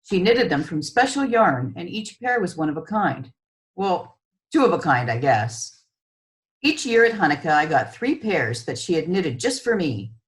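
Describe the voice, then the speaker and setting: A woman reading a children's story aloud as an author, with expressive, measured narration. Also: fairly low pitch, low-quality recording.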